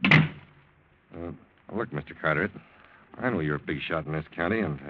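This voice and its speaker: A man's voice: low voice, normal speed. A man acting in an old radio drama as a wily, laconic police lieutenant who talks in a probing, hesitant way. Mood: hesitant, yielding. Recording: dull, lo-fi old radio recording.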